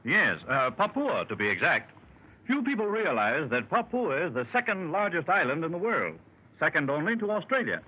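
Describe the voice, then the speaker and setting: A formal storytelling explorer, muffled old radio. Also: male voice, on the high side, wildly swooping pitch, moderate pace, breathy edge, heavily acted. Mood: measured. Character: learned explorer Doctor Roberts, middle-aged man. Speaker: explorer recounting his expedition. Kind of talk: 1930s radio drama acting. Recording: muffled hissy 1930s radio transcription.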